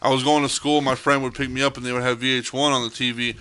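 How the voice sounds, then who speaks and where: Bright-voiced young man, hyped host. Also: mid-range voice, little pitch movement, quick pace, smooth. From Texas. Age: 20s. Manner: hyped, joking, bro-talk. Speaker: podcast host. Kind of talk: casual podcast interview.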